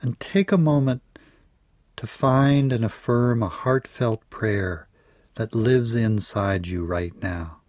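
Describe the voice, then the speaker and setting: A man leading a guided yoga nidra meditation in a measured, soothing way, letting his phrases trail off softly. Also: mid-range voice, no echo, studio quality.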